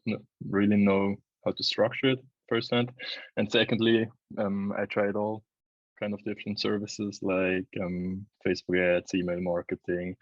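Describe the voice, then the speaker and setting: Man, early twenties, from Switzerland, lowish voice, casual interview guest, dull dry recording. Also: no echo. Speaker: interview guest, videographer and agency owner. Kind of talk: casual chat, telling own story.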